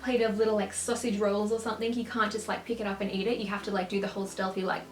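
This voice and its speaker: A woman's voice: mid-range voice, little pitch movement. Young woman, slight lisp, chatty and upbeat, roomy echoey recording. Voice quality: slight lisp from clear aligners. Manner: chatty, upbeat, quick to laugh. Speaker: vlogger. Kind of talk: casual chat to camera. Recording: roomy echo.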